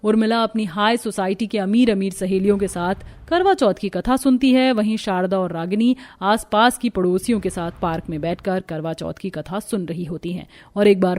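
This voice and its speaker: A woman's voice: medium pitch, a little mellow. A woman narrating a scripted family story in a dramatic storytelling style.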